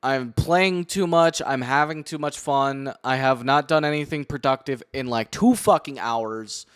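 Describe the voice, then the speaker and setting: A man's voice: mid-range voice, a little slow, clear, resonant tone. A young man streaming casually, cursing and muttering to himself as he works through a task. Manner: profane, muttering to himself while working. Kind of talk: casual live-stream commentary.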